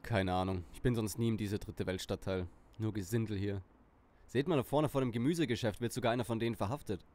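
A man's voice: fairly low pitch, fast, a little mellow, close to an everyday voice. A man playing a self-assured, pompous teenage boy who leads a detective gang in an audio drama. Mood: disdainful.

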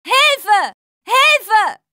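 A woman's voice: very high-pitched, very animated, very slow, sharp tone, no room sound, studio quality, full character voice. An actress playing a tough young woman survivor in a dubbed zombie video game, speaking in short, urgent callouts. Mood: desperate, screaming.

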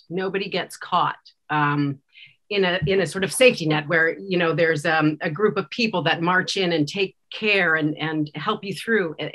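Bright-voiced woman, fairly low, speaking personally. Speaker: director, interview guest. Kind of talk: personal, reflective interview talk. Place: indoor room. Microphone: video call.

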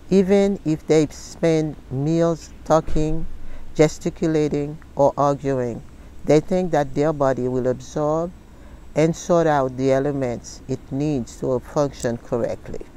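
A woman in her sixties speaking in an earnest, deliberate and sometimes halting way, recorded outdoors. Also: sits low, warm tone, clear, resonant tone, low-quality recording. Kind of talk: personal testimonial and reading aloud from a book. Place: outdoors in a leafy garden.

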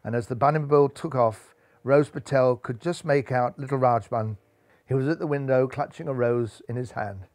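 A man's voice: medium pitch, normal speed, darkish tone, dry recording. A man, reading a children's story expressively. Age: 60s. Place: small furnished room, seated in armchair indoors.

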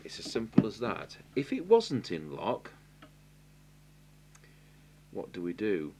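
Deep-voiced Englishman, halting workbench tutorial. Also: huge pitch swings, dark tone. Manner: halting, matter-of-fact, thinking aloud while working. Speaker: radio repair technician giving a demonstration. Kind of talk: unscripted hands-on tutorial narration. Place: indoor electronics workbench. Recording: clear hiss and electrical hum.

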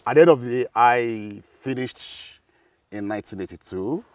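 A middle-aged man with a Nigerian accent, a guest professor answering interview questions in an animated way, his voice rising high as he tells stories. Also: moderate pace, distant mic, slight background noise. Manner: animated, rises high when telling stories, laughs easily. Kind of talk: interview conversation. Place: outdoor garden set, seated. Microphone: lapel.

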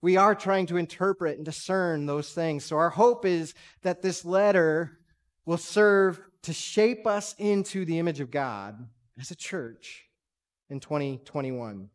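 A man's voice: a little high, a little slow. A man in his thirties, a pastor, preaching a sermon in a conversational, reflective way with rhetorical questions, on a church stage. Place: large church sanctuary stage.

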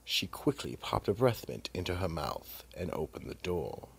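A man with a gravelly, husky voice narrating a scripted mystery radio drama. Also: deep voice, very animated, normal speed.

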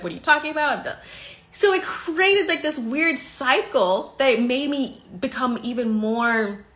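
An American woman in her thirties talks straight into a phone held close, hosting a relaxed live chat from a bright living room. Her voice sits a little high for a woman, and she speaks animatedly, gesturing as she goes. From United States. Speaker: podcast host on a live stream. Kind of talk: casual live-stream chat.

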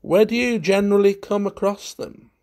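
A man voicing a grim, secretive collector in a storybook, speaking in a measured, hard, coldly courteous way. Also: sits high, darkish tone, pitched above the performer's own voice. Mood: grim probing. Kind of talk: dramatised storybook reading.

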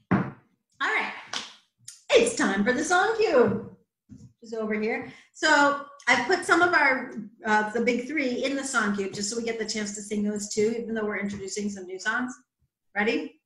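A woman's voice: a little high, bright, forceful tone, echoey room. An American woman in her thirties hosting children's storytime, speaking to young children in an encouraging, exaggerated way from a small room at home. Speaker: library storytime host. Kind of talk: children's storytime, talking and sing-along. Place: indoors, small home room with closet doors.

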